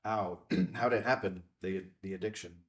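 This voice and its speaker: Man plays low-pitched male newspaper reporter; reticent, probing, understated film drama. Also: steady tone, moderate pace, fairly bright tone. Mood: guarded, probing. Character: Ben, guarded, probing male big-city reporter. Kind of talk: naturalistic screenplay table read.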